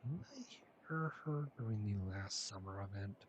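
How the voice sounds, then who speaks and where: A man's voice: fairly low pitch, lively intonation, normal speed, lo-fi sound, a little hiss or hum. A man casually commentating on his gameplay and reading dialogue aloud as a game streamer. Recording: game music behind the voice.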